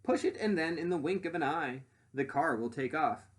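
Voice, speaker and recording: Storybook boy inventor, played by a man: boastful, eager showman, lively swooping delivery, slightly raised pitch. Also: normal speed, close to an everyday voice. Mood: thrilled. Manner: excited, showman-like presenting with swooping emphasis.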